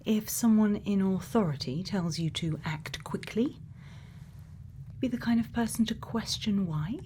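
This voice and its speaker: A woman playing a quirky, gently probing scientist in a soft-spoken ASMR roleplay, murmuring unhurried questions and sometimes half whispering. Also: mid-range voice, slight background noise, close to an everyday voice. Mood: calm, attentive. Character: quirky, gently probing female scientist programming a robot's memories.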